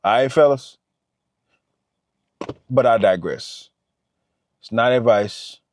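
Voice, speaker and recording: Animated man in his thirties, dry close sound. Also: medium pitch, slow, dry recording. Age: 30s. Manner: animated, repeats himself, talks straight to the viewer. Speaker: vlogger. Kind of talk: motivational talk to camera.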